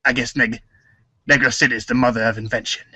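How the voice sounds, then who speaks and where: A man's voice: medium pitch, steady tone, fast, pushed and bright, slightly rough, no room sound, dull audio. A man acting a cool, cocky, slangy teenage boy wisecracker in a reader's theater. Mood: cocky, rapping. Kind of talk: read-along storybook reader's theater acting, rapping.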